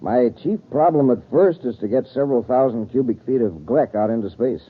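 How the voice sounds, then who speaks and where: A man's voice: mid-range voice, animated, brisk, breathy edge. An actor playing an earnest, courteous male scientist who explains things precisely in an old-time radio drama. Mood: explaining, courteous. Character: earnest male scientist, a doctor running a space project. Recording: muffled, thin vintage radio recording.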